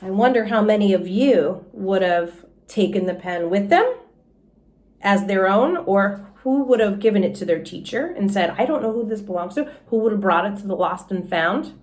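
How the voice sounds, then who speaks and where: A woman's voice: mid-range voice, expressive, moderate pace, a little mellow. A woman speaking as a teacher doing a storybook read-aloud, with asides and questions for the children. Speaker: teacher reading aloud to students.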